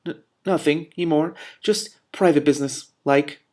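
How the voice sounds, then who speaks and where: A man's voice: on the high side, a little slow, a little mellow, pitched above the performer's own voice, lightly acted. A man voicing a nervous fat innkeeper from a storybook, cowed and evasive, stammering and rambling.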